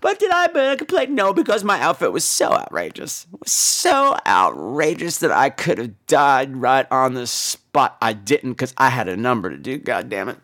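A man's voice: mid-range voice, big pitch swings, normal speed, hardly any pauses, bright, forceful tone. A man hosting a podcast, telling comic personal stories in a campy, exaggerated theatrical style with salty, sassy asides. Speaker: podcast host.

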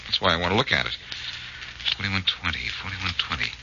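An actor playing a confident, sharp-witted amateur detective in a 1940s radio drama, brisk and wry as he thinks aloud, on a muffled old recording. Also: deep voice, expressive, noisy background. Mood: searching, intent.

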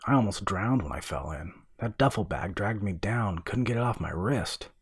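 A man voicing an irreverent, excitable young man with blunt, colloquial speech in an audiobook horror story. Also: lowish voice, moderate pace, slightly rough, exaggerated voice. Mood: wry.

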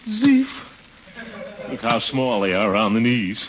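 A man's voice: medium pitch, huge pitch swings, measured pace, crowd noise. A man acting a pompous, blustering, vain middle-aged man in a 1940s radio comedy, exclaiming and easily flustered. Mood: exasperated. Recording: noisy, dull 1940s radio recording.

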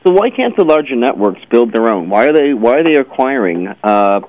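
A man's voice: medium pitch, very animated, brisk. A man hosting a casual interview show, phrasing things hesitantly as he goes. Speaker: show host. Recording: slightly muffled, lo-fi radio audio.

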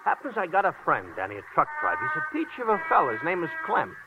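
An actor playing an excitable, rambling New York cab driver in comic 1950s radio drama style. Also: male voice, sits high, quick pace, full character voice. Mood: eager, garrulous. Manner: rambling, colourful slangy storyteller. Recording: tinny noisy vintage radio recording.

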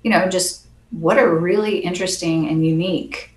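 A soft-spoken woman, a percussionist guest, chatting earnestly and laughing often in an interview. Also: on the low side, fairly even intonation, moderate pace, reverberant, a little hiss or hum. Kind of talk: casual interview chat.

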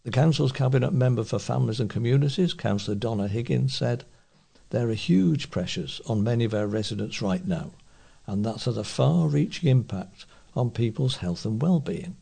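A man's voice: mid-range voice, animated, moderate pace, gravelly, warm tone, breathy edge. A man reading local news aloud as a volunteer newsreader.

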